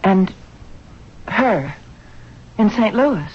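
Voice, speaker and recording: An actress playing a distressed, bewildered wife in a 1970s radio drama, earnest and dramatic. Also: female voice, mid-range voice, animated, very slow, breathy edge, exaggerated voice. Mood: disbelieving. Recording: muffled, noisy vintage radio broadcast.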